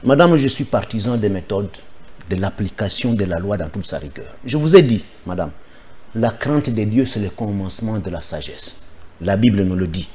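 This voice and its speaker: A man's voice: lowish voice, animated, normal speed, a little mellow. A man from the Democratic Republic of the Congo, a political guest giving firm, insistent answers in a studio interview.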